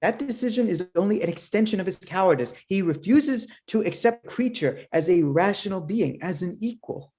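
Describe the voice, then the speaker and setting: A woman performs a contrarian character in a period stage drama. The voice is husky and mid-pitched, with a brisk, argumentative delivery. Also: lively intonation, dark tone. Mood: disdainful. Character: sharp, contrarian debater in gothic period drama.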